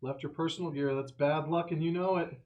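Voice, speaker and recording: A man's voice: a little high, fairly even intonation, brisk, darkish tone. A man playing a tabletop roleplaying game, mixing casual table chat with in-character lines.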